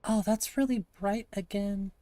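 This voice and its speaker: A woman from Montana with a gravelly voice, chatting casually to her live-stream audience while she plays a game, thinking aloud and answering chat. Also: medium pitch, fairly even intonation, unhurried, dark tone, dry recording. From Montana, USA. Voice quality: gravelly, slightly raspy.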